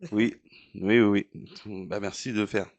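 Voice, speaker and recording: Playful, curious low-voiced male interviewer. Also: fairly even intonation, moderate pace, darkish tone. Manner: playful, curious, tongue-in-cheek. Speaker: interviewer host. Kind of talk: casual interview chat.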